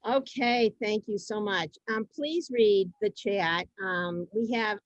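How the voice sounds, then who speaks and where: A woman's voice: mid-range voice, slow pace, dry recording, highs cut off. A woman hosting an online group event informally, with hesitant, loosely improvised phrasing. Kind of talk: informal moderating.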